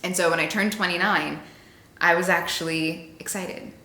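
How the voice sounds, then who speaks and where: A woman in her late twenties talks in a chatty, upbeat way, her voice bright and a little low-pitched. The conversation sounds like it was recorded in an echoey room. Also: fairly even intonation, normal speed. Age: 20s. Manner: chatty and upbeat, laughs readily. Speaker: interviewee, radio and social media personality. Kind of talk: casual conversation.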